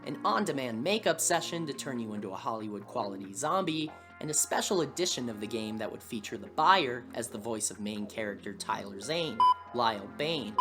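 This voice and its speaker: A man reading scripted video essay narration with wry, dry humour. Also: medium pitch. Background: background music.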